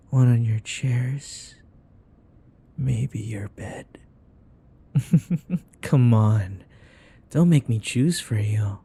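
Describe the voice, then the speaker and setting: A man playing a possessive, teasing vampire in an ASMR roleplay, with a breathy voice that often drops to a whisper and a coaxing, taunting manner. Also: medium pitch, mellow, full character voice. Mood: eager, gloating. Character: possessive, teasing male vampire who hypnotizes his captive.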